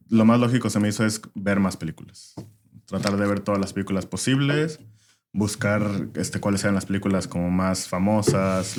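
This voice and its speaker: A young man born in Venezuela talks in a very low voice, relaxed and unhurried. He fills his pauses with "este" as he tells his story in a casual interview. A faint hiss sits under the recording. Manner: relaxed, frequent "este" fillers. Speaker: podcast guest, former filmmaker.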